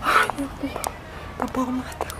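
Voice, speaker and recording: Una actriz con acento interpreta a una mujer avergonzada y dolida que se desahoga con una amiga en una ficción sonora. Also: female voice, high voice, animated, brisk. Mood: mortified. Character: mortified, heartsick woman confiding in a friend. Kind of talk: audio drama acting. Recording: clear ambient noise around the voice.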